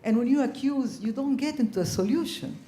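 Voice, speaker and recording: An older woman from Ecuador speaking on a conference panel, telling her story earnestly with frequent pauses and ums. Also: high voice. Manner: earnest, reflective, frequent ums, growing animated. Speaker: panelist, former ambassador. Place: conference hall. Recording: echoey conference hall.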